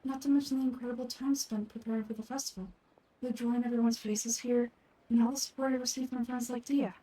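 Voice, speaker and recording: A woman voicing a gentle, frail young woman in a fantasy game, speaking in a soft, measured, wistful and earnest way. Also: on the high side, steady tone, close to an everyday voice, poor audio.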